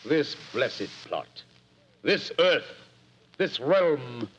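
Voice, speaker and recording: An actor playing a commanding, incisive English gentleman detective in a 1940s radio drama, with a crisp, declamatory British delivery. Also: medium pitch, expressive, drawn-out words, a little hiss or hum. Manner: crisp, declamatory, self-assured. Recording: muffled vintage radio recording.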